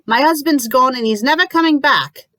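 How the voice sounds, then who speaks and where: A woman voicing a grieving young widowed mother who pleads in a high, strained voice. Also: moderate pace, no echo, studio quality, natural voice. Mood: indignant.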